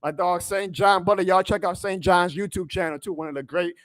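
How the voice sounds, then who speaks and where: A man hosting a live sports reaction stream, excitable and emphatic, his voice going hoarse. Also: sits high, brisk, dry recording, studio quality. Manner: excitable, emphatic, plugs merch, reads chat aloud. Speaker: sports fan livestream host.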